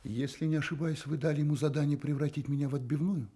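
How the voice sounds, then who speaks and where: An actor playing a sardonic, challenging private detective in a radio play. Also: male voice, on the high side, fairly even intonation, quick pace, dark tone, breathy edge. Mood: defiant, sardonic. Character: defiant, wry male private detective.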